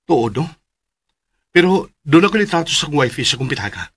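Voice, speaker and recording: A man's voice: a little high, big pitch swings, fairly quick, husky. A male actor playing a father searching for his wife in a Philippine radio drama, with a Cebuano Filipino accent. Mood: reassuring.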